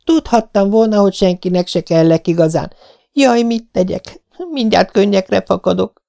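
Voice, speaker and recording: A man's voice: sits high, creaky, mellow. A man reading an audiobook voices a dreamy, dramatic young orphan girl with a theatrical, pleading manner. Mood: despairing.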